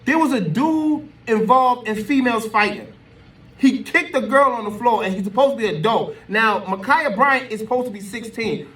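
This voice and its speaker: High-voiced American man, emphatic and distant. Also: a bit bright. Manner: emphatic, repetitive, colloquial. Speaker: social media commentator. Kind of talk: unscripted opinion rant to camera. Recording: voice distant from the mic.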